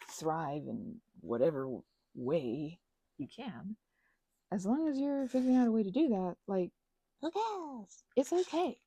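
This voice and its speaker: A woman's voice: lowish voice, creaky, mellow, slight background noise, poor audio. A woman chatting informally to her listeners in a rambling, meandering way, drifting into asides and offering casual encouragement. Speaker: ASMR and audio channel creator.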